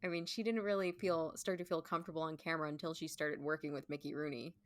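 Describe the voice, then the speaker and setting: A woman's voice: sits low, fairly even intonation, fast. A woman chatting casually and informally as a guest on a podcast. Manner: informal, conversational. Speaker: podcast guest, stage performer. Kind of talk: casual chat.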